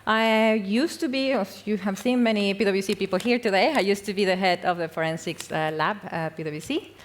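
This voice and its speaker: A woman's voice: medium pitch, fairly quick. A young woman from Peru, giving a casual, self-deprecating conference talk full of fillers and small laughs. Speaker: conference speaker. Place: conference hall.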